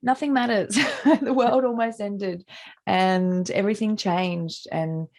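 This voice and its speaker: A middle-aged Australian woman musician with a husky, gravelly voice, answering interview questions in a loose, rambling way full of "like" and restarts, over a video call. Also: mid-range voice, slow, darkish tone. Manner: rambling, many likes and restarts.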